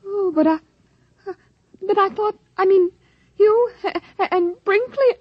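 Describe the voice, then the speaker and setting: High-pitched, excitable lady inspector. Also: a little slow, no room sound. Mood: flustered disbelief. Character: brisk, excitable female government inspector, easily flustered. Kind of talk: 1950s radio drama acting. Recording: dull, dry vintage radio recording.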